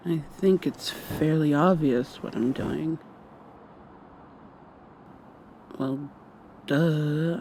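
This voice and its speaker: A woman performs a weary human captive in a vampire roleplay. She is sardonic and deadpan, speaking slowly in a mellow, drowsy voice over faint noise. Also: on the low side. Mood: sarcastic, drowsy. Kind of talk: ASMR roleplay.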